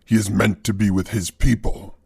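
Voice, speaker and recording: Mysterious dark creature, super raspy n low, solemn fantasy guardian. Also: male voice, little pitch movement, normal speed, no echo, studio quality, exaggerated voice. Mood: solemn, ominous. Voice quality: raspy, gravelly.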